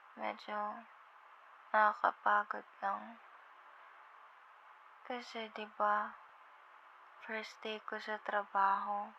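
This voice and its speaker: Drowsy slow-talking Filipina girlfriend, acted by a woman. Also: medium pitch, gravelly, lo-fi sound, a little hiss or hum. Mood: soft, caring. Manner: drowsy, tender, hesitant, slips between Tagalog and English. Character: sleepy affectionate young girlfriend on a late-night phone call. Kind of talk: intimate ASMR girlfriend roleplay.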